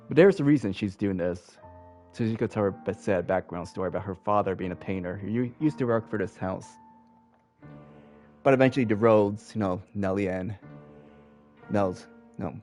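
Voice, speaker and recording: A man's voice: lowish voice, darkish tone. A man casually recapping a visual novel for viewers, talking in a laid-back, rambling way with lots of fillers. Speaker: let's play host. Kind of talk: casual game recap commentary.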